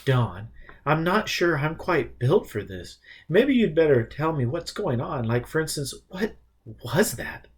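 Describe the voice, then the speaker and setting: A man's voice: fairly high pitch, very animated, measured pace, slightly rough, voice pushed up, exaggerated voice. A man voicing a big, hot-tempered man in an audiobook, blunt and confrontational out of fear. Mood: shaken. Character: hot-tempered, big male narrator-hero, scared and fierce.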